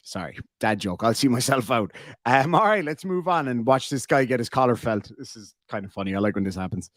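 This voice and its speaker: A man's voice: mid-range voice, animated, fairly quick, fairly bright tone, dry recording, studio quality. A man with an Irish accent hosting a live stream, joking sarcastically and reading out viewer messages. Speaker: livestream host reacting to videos. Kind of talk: casual live commentary.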